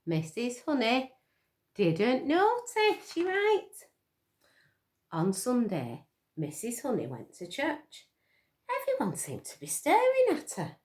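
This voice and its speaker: Female storyteller for young children: very lively pitch, slow pace, expressive and inviting questions. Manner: expressive, dramatic gasps and questions to listeners. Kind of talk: animated picture-book storytelling to young children.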